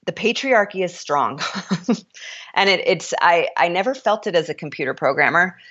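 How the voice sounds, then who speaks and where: Deep-voiced woman, frank and opinionated. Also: animated, a bit bright, muffled recording. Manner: frank and opinionated, with quick restarts. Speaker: author and startup founder, guest. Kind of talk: candid interview conversation.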